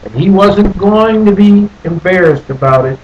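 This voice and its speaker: A man reading a picture book aloud as a storyteller, deliberately, with questions and asides to his young listeners. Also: high-pitched, expressive, highs cut off. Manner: deliberate, asks the listeners questions and repeats phrases.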